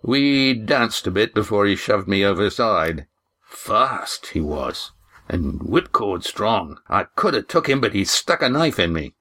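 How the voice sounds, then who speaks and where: A man's voice: on the low side, sing-song, unhurried, fairly bright tone, exaggerated voice. A man voicing a fierce, boastful wounded sailor with rustic seafaring speech in an audiobook story.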